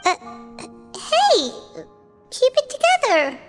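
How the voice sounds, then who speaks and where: A woman's voice: extremely high pitch, wildly swooping pitch, slow, exaggerated voice. A woman voicing a small, childlike fairy companion in an anime game, cheeky, blunt and boastful. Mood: alarmed. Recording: music behind the voice.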